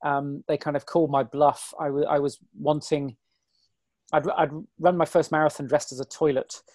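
A man in his forties, a guest on an interview, talking in a chatty, self-deprecating, rambling way with a hoarse, weary voice. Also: on the high side, level tone, normal speed, a little mellow, no echo, clean studio sound. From Britain. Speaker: guest, ultrarunner. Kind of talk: casual interview chat.

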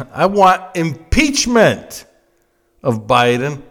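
Male political commentator, 50s, American. Emphatic and blunt, unhurried delivery with big swings in pitch. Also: sing-song, fairly bright tone. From United States. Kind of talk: opinionated political monologue.

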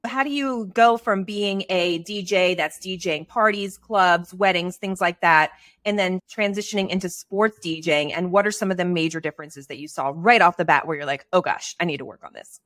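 A woman's voice: on the low side, a little fast, hardly any pauses, a bit bright, no room sound, clean studio sound. A woman hosting a podcast in casual chat, with an upbeat, sing-song delivery. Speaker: podcast host.

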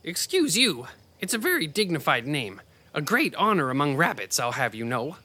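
A woman's voice: low-pitched, big pitch swings, normal speed, bright, forceful tone, slightly rough, exaggerated voice. A woman voicing a prickly, proud rabbit in a children's fantasy audio drama, bossy and exasperated, with a haughty, scolding manner. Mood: indignant.

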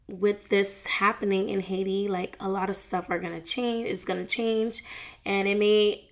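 A woman's voice: mid-range voice, a bit bright. A young woman vlogging in a casual, frank way, chatting about celebrity news and family with plenty of fillers and slang. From United States. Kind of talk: casual celebrity gossip chat.

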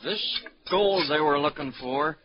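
Man playing an indignant Midwestern husband, higher-pitched, old radio. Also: lightly acted. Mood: earnest, recalling. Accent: American. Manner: excitable, plain-spoken, halting when recalling. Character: indignant, stubborn married man from Indiana, crime victim. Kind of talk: 1940s American radio acting. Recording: dull, crackly 1940s radio broadcast recording.

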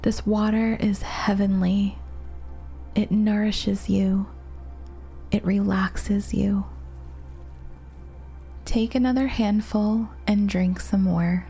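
A woman narrating a guided relaxation meditation in a soft, gentle, soothing manner with long pauses. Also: medium pitch, fairly even intonation. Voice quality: soft, breathy. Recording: meditation music behind the voice.

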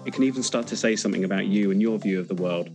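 A man's voice: lowish voice, very fast, darkish tone, faint music behind. A man hosting an interview show, introducing topics and putting questions to guests. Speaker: podcast host interviewing a guest. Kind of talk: scripted introduction and conversational interviewing.

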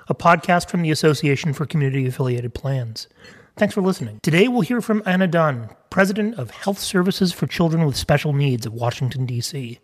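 A man hosting a podcast, reading a scripted sponsor message and introduction in a measured way. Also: fairly high pitch. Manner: measured, spells out web address. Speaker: podcast host.

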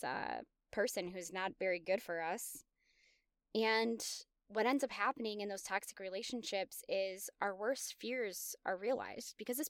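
Smooth-voiced woman sharing candid stories. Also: mid-range voice, no room sound, studio quality. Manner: candid and confessional, self-reflective. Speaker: podcast host. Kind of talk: personal storytelling monologue.

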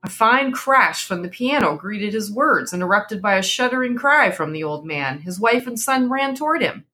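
A woman's voice: mid-range voice, lively intonation, moderate pace, pushed and bright. A woman narrating a classic horror story aloud in a dramatic, scripted reading style.

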